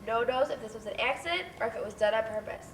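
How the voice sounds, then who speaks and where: A woman's voice: a little high, expressive, racing, lightly acted. A woman playing a serious breaking-news reporter in a stage play. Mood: urgent, formal. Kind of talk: stage play newscast delivery. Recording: roomy, distant stage recording with faint noise.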